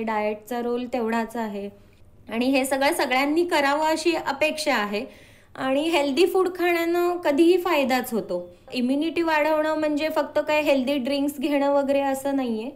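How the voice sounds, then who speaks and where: A woman answering as an interview guest, earnestly explaining and mixing in English words. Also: sits high.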